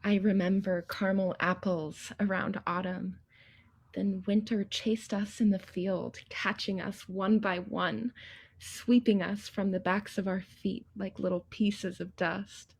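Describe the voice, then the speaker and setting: A woman performing a wistful childhood memory as a theatrical poetic monologue, in a measured, husky, creaky voice. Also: medium pitch, little pitch movement.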